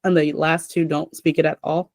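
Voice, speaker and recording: A woman's voice: low voice, flat delivery, moderate pace, darkish tone, no echo, clean studio sound. A young adult woman hosting a casual chat, rambling with lots of filler words.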